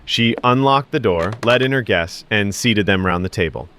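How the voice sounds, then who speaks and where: A man narrating a children's fairy tale in an expressive, scripted storybook style. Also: on the low side, normal speed, noisy background.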